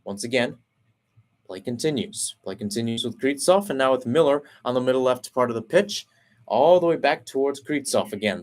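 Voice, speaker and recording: Man calling live soccer play-by-play. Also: mid-range voice. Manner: brisk running call, frequent self-corrections. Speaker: play-by-play commentator.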